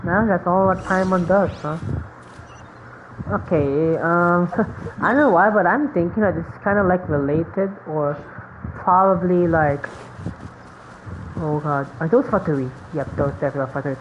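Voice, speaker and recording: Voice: mid-range voice, a little slow, long pauses. A gaming streamer giving casual, rambling, reactive commentary over a playthrough. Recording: dull lo-fi mic, game noise behind.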